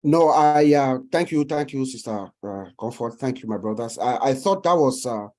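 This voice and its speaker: A man's voice: mid-range voice, animated, normal speed, darkish tone. A man from Cameroon, a panel guest, speaking hesitantly with many fillers and repeated words. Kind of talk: casual panel chat.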